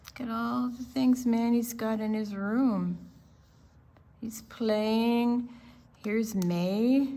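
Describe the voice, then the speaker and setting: An older woman reading a picture book aloud to children, expressively, pausing to explain the pictures. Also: a little high, darkish tone. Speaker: storytime reader.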